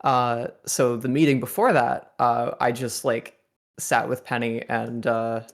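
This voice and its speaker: A man's voice: mid-range voice, flat delivery, measured pace, vocal fry. A young man talking casually on a group commentary about writing song lyrics, rambling and laughing often. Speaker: songwriter on a fandub commentary.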